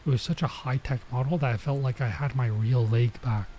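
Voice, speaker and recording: A male game streamer reads a visual novel aloud at a middling pitch. He slips between narration and offhand comments, with clear noise behind his voice. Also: steady tone, a little fast, warm tone. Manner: stops to comment and translate Japanese phrases. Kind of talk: let's play read-aloud with casual asides.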